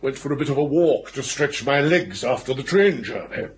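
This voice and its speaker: An actor playing a blustering, opinionated middle-aged English gentleman in a radio comedy, with an upper-class English accent and an emphatic, anecdotal manner. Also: mid-range voice, animated, moderate pace, close to an everyday voice. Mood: indignant storytelling. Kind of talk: BBC radio comedy drama acting.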